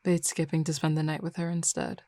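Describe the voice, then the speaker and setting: A flirty female werewolf, played by a woman in an intimate roleplay audio drama, speaking low with plenty of gravelly fry. She is easygoing and confident, teasing affectionately in a mellow, unhurried way. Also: flat delivery, dry recording. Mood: reassuring, inviting. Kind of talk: intimate roleplay audio drama, casual one-sided conversation.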